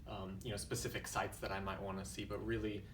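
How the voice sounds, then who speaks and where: A man in his twenties from the United States, talking as an interview guest in a thoughtful, measured way with frequent fillers. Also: lowish voice, level tone, reverberant, distant mic, background noise. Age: 20s. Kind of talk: casual interview.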